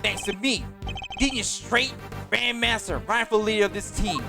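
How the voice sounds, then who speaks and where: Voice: medium pitch, moderate pace, vocal fry, over music, voice pushed up, lightly acted. A streamer doing a smug, boastful male bodyguard. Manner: boastful, sneering, speaks in chess terms. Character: arrogant scheming male bodyguard. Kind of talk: fan dub of a story game on stream.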